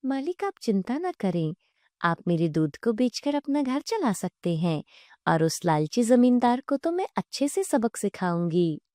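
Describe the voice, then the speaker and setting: Woman as magical mother cow, cartoon fable, devoted and reassuring, lilting higher voice, dry sound. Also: big pitch swings, unhurried, mellow, no echo. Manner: reverent, reassuring, formal. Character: gentle, grateful magical talking cow, a new mother.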